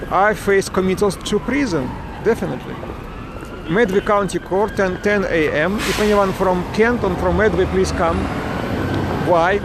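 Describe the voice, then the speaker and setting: A man from Ukraine, broadcasting live from a city street on a phone, answering viewers in broken English and often restarting his words. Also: high voice. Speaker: parent livestreaming a protest. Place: outdoors on a city street with traffic. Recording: noisy outdoor phone recording with traffic.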